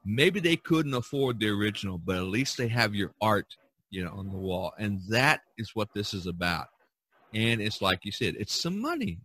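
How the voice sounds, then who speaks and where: Bright-voiced man hosting a casual podcast. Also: on the low side, very animated, normal speed. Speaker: podcast host. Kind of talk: casual chat among artists.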